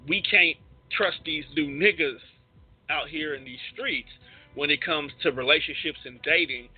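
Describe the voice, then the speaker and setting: An American man with a husky voice hosting an informal radio talk show, searching for his words as he goes. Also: on the high side. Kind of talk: casual topical talk. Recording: narrow tinny phone-like line.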